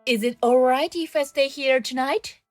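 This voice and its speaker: A woman's voice: high-pitched, moderate pace, faint music behind, natural voice. A woman playing an earnest, kind-hearted, outspoken young woman investigator in an audio drama. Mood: subdued. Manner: expressive, earnest.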